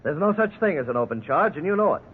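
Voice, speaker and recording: An actor playing a principled defense lawyer, speaking firmly and formally in a 1950s radio drama. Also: male voice, a little high, lively intonation, quick pace, husky. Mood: commanding. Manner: firm, formal, righteous. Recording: tinny, noisy 1950s radio recording.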